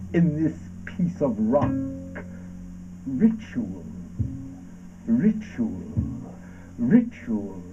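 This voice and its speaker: Man acting slow, wildly swooping theatrical ranter. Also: on the high side, full character voice. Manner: halting, deliberate, dramatic swings of emphasis. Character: brooding, sardonic ranter delivering absurdist anti-war poetic monologue. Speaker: stage actor. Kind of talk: theatrical monologue from an avant-garde radio play adapted for stage. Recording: dull, noisy lo-fi stage recording.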